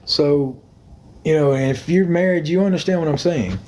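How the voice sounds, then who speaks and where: A man's voice: fairly high pitch, moderate pace, a little mellow, slight background noise. A young man chatting on a phone livestream, rambling, repeating himself and thanking viewers by name. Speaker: livestream host. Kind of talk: casual livestream chat with viewers.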